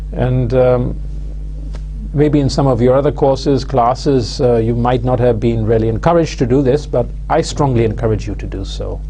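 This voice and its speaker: A man, giving a recorded university lecture in a measured, hesitant way full of "uh" and "um" fillers. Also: medium pitch, warm tone, a little hiss or hum. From London, England. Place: studio with plain backdrop.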